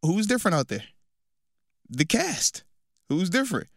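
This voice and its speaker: A man's voice: high voice, sing-song, moderate pace, vocal fry, dry recording, studio quality. A man in his thirties, a former football player co-hosting a casual sports radio show, bantering playfully and repeating phrases for emphasis.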